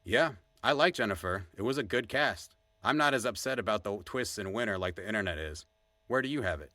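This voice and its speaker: A man's voice: lowish voice, expressive, brisk, pushed and bright, dry recording. A man playing a wry, opinionated podcast co-host in casual scripted banter. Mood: wry, joking.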